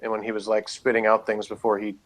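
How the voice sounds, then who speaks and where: A man's voice: on the low side, monotone, quick pace. A man co-hosting a casual review chat, talking in a rambling, matter-of-fact way. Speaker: podcast co-host reviewing a TV show.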